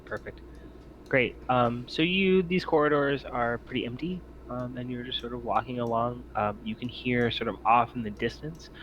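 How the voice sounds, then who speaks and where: Brief: male game master, mid pitch, polite and explanatory, muffled lo-fi mic with faint noise. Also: moderate pace, fairly bright tone. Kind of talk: improvised game narration and table talk. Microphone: online voice chat mic.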